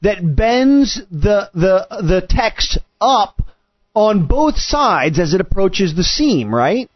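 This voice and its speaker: Male host, high and animated voice, probing and quick to cut in, dry but slightly lo-fi sound. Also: no echo. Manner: probing, interrupting. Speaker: host questioning a guest. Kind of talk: conversational interview debate.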